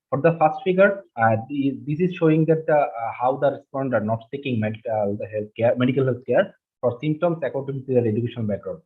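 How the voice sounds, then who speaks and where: Man from Bangladesh on a tinny call. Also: medium pitch. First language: Bengali. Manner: halting, many fillers and restarts. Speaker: webinar presenter. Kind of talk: presenting slides in a webinar. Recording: husky, phone-like online call audio.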